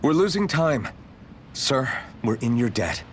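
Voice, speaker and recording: A man playing a steady, considerate young adventurer in a video game, speaking plainly and earnestly. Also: mid-range voice, unhurried, a little hiss or hum. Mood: resolute.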